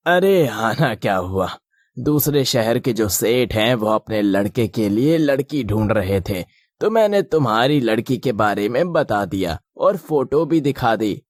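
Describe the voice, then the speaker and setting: A man voicing the men of a cartoon family tale, in an expressive, exclamatory way. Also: mid-range voice, dry recording, studio quality. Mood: matter-of-fact. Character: men of a family tale: father, groom, priest. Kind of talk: animated moral-story dialogue.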